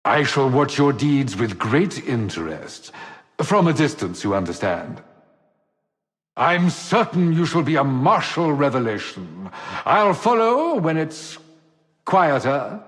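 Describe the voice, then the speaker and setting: An actor playing a suave, cowardly gentleman rogue in a video game, glib, florid and theatrically polite. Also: mid-range voice, big pitch swings, slow pace. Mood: mock-gallant. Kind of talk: video game character acting. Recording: long hall reverb.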